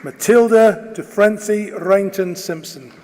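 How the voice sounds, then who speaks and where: A man formally announcing graduates' names at a ceremony, with measured, deliberate enunciation, in a large hall. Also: high voice. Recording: reverberant hall, loud crowd noise behind. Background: loud crowd noise.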